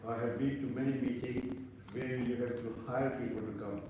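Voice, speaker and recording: A man's voice: medium pitch, steady tone, fairly quick. A man from India giving a formal introduction speech at an event, with chatty, self-deprecating joking asides. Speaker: event speaker introducing the host. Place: large hall with audience. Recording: echoey hall, distant mic, faint noise.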